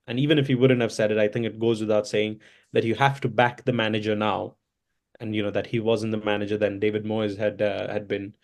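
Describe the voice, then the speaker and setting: A hoarse man giving long, rambling interview answers, with many fillers and self-corrections. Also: medium pitch. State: hoarse from chanting. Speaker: interview guest, events entrepreneur.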